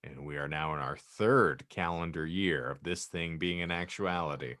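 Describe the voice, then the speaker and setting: A man's voice: low voice, moderate pace, a bit bright, no echo, clean studio sound. A man hosting a casual comedic podcast, talking in wordy, mock-formal phrases. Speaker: podcast host. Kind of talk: casual comedic panel chat.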